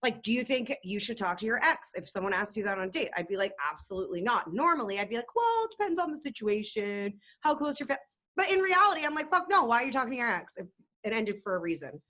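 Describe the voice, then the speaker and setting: Co-host, young woman, high bright voice, animated rambling chat. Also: fast. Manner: chatty, rambling, uses "like" a lot. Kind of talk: casual podcast chat.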